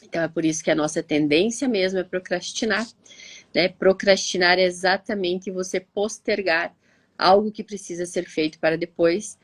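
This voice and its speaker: A woman's voice: on the low side, dry recording. A stuffy-nosed woman teacher from Curitiba with a Brazilian Portuguese accent, explaining in a chatty live talk, often tagging on "né?". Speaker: teacher and live show co-host. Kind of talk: casual explanatory chat.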